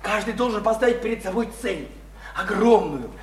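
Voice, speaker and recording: A very high-pitched male idealist, preaches in a theatrical radio drama. He stammers and repeats himself, building grand, fervent oratory. The recording is echoey, with noise behind the voice. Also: expressive, normal speed. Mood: preachy, exalted. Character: impassioned idealistic newcomer preaching reform to townswomen. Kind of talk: theatrical radio play acting. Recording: noisy, echoey stage-like radio play recording.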